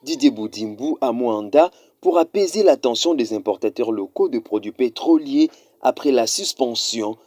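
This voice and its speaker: A man reading a scripted news report in a formal, measured voice-over. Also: mid-range voice, expressive, darkish tone, no room sound, clean studio sound. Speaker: news narrator.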